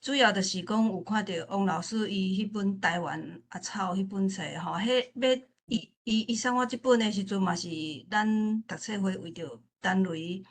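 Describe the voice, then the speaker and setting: Woman, Taiwanese accent, informal forum speaker, mid-pitched, halting with repeated phrases. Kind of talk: informal spoken remarks at a literature forum.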